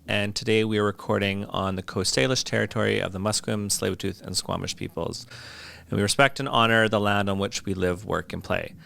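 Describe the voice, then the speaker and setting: Gravelly bright-voiced male podcast host. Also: on the low side, brisk. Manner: relaxed, blunt, swears freely. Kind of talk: casual interview.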